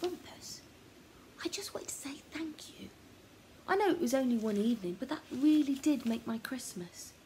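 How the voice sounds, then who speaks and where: An earnest, eager little boy, the hero of a storybook, as a woman reads him. The voice is fairly high and full of gratitude, with some noise behind it. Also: lively intonation, normal speed, dark tone. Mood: grateful. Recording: noticeable background noise, home recording.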